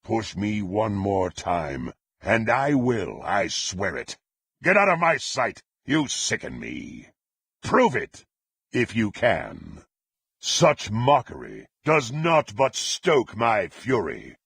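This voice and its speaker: Menacing, gravelly dark fantasy warrior. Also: male voice, on the low side, wildly swooping pitch, takes it slowly, dry recording, full character voice. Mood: furious, threatening. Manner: theatrical, haughty, archaic formal phrasing. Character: menacing, power-hungry, contemptuous male dark warrior with murderous temper. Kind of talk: dramatic fantasy video game voice acting.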